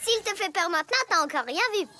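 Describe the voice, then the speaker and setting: A woman's voice: very high-pitched, expressive, quick pace, very noisy. A woman playing a gloating young girl villain in a children's cartoon, taunting and theatrical. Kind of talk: French-dubbed children's cartoon acting. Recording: music behind the voice.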